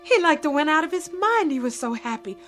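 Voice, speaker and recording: A woman's voice: high voice, very animated, fairly quick, a bit bright, soft background music. An actress playing a fond, chatty mother in a radio drama, with colloquial, folksy phrasing. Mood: fond, amused. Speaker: actor in a radio play. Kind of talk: modern radio drama acting.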